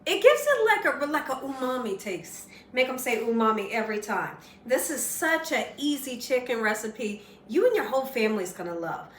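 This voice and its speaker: A slightly hoarse woman with a fairly high voice walks viewers through a recipe in a chatty, folksy way, often checking in with "right?". She sounds a little away from the mic in an echoey kitchen, with faint background hiss. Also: very animated, normal speed, hardly any pauses, far from the mic. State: slightly hoarse, losing her voice. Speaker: cooking show host. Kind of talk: casual cooking demonstration. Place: home kitchen.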